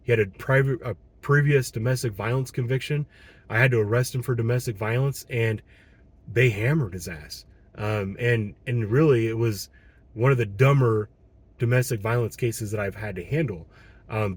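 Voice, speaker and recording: A man in his thirties with a Midwestern American accent, a patrol police officer talking on his own in a frustrated, earnest way, hoarse as he loses his voice, with frequent ums and restarts. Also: medium pitch. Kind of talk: solo monologue commentary.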